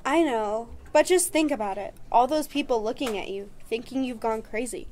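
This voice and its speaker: A woman playing an excitable, anxious young newlywed bride in a radio horror play. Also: on the high side, normal speed, a little hiss or hum, natural voice. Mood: uneasy, fascinated. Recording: music under the voice.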